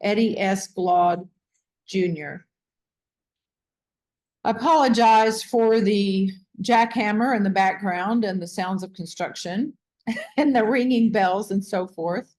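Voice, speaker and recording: Woman reading aloud, measured and emphatic. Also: medium pitch, darkish tone. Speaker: host of a read-aloud book discussion. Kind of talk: reading a book foreword aloud, with brief comment.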